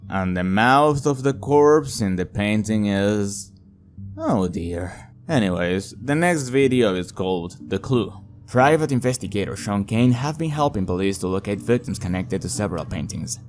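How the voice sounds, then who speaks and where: Male horror-review narrator, low-pitched, scripted read with offhand commentary, light music bed. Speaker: host of a video reviewing an analog horror series.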